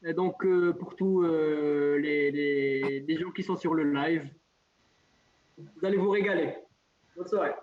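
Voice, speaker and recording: Moroccan improv player on a muffled call. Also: male voice, fairly high pitch, takes it slowly. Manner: brief casual greeting with small stumbles.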